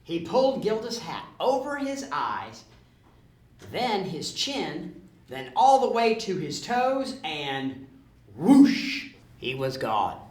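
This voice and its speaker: Animated woman storyteller, echoey room. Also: fairly low pitch, takes it slowly, slight background noise. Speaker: storybook narrator. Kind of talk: scripted children's story reading. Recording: roomy echo.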